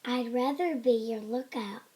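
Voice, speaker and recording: A woman's voice: on the high side, unhurried, darkish tone, breathy edge, pitched above the performer's own voice. A woman voicing a bold, cheerful young girl in a children's storybook, confident and playful. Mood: eager.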